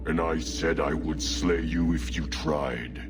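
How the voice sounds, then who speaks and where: A stern, menacing giant warrior from a video game. His extremely low voice is grave and deliberate, under loud music. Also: fairly even intonation, full character voice. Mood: grim. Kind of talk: video game dramatic acting.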